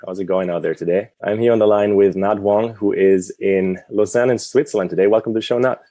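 A man's voice: fairly low pitch, fairly even intonation, a little fast, mellow, dry recording. A man hosting a podcast, introducing his guest and asking questions in an enthusiastic, casual way. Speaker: podcast host. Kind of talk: upbeat interview hosting.

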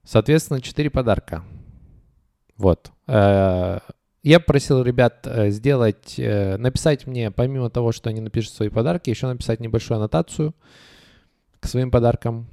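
A man's voice: mid-range voice, normal speed, vocal fry, warm tone, no echo, clean studio sound. A man hosting a comedy podcast, announcing contest prizes in a playful, mock-grand way and reading out notes with asides. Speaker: podcast host.

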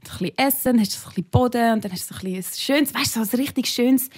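A woman's voice: a little high, racing. A woman from Switzerland speaking with a Swiss German accent, a playful, teasing podcast host chatting casually. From Switzerland, Sarganserland area.

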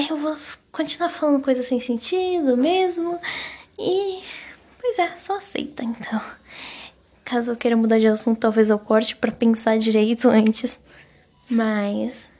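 A woman's voice: a little high, fairly even intonation, normal speed, darkish tone, husky. A young woman with a Brazilian accent chatting casually and confessionally as a solo podcast host, rambling self-consciously and stumbling over her words.

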